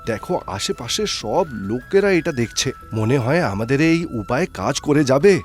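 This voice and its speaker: A man voicing a kind, generous, poor biryani seller in a cartoon story, with a gentle, earnest manner. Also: mid-range voice, very animated, unhurried, soft background music. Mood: hopeful.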